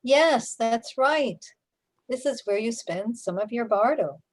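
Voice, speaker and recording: A woman playing a calm, knowing afterlife guide, measured and explanatory, in a sci-fi radio drama. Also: fairly high pitch. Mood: matter-of-fact.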